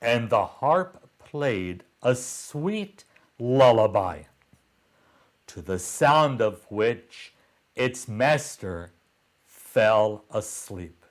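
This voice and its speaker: A man reading a children's story aloud in a deliberate way, pausing between short phrases. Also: lowish voice.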